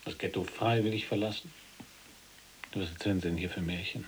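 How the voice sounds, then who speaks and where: An actor playing an earnest resistance fighter in prison in an audio drama, speaking firmly and articulately with grave, accusing factuality. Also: male voice, low-pitched, fairly even intonation, a little fast, a little hiss or hum, lightly acted, poor audio. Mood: indignant. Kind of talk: audio drama, testimony-like narration.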